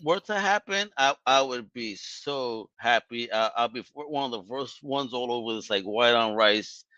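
A man hosting a podcast, chatting casually and excitedly with lots of stammered restarts and slang. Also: medium pitch, normal speed, no echo, dull audio. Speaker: podcast host. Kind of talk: casual interview wrap-up.

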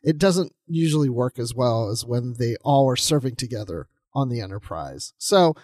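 A man's voice: mid-range voice, animated, normal speed, darkish tone, dry recording, clean studio sound. A man co-hosting a podcast, casually discussing a book and thinking aloud as he talks. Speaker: podcast co-host.

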